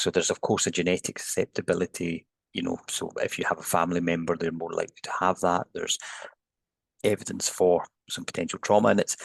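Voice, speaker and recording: Deep, gravelly Scottish man. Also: no echo. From Scotland. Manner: thoughtful, hedging explanations with restarts. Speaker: headache neuroscientist guest. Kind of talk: podcast interview.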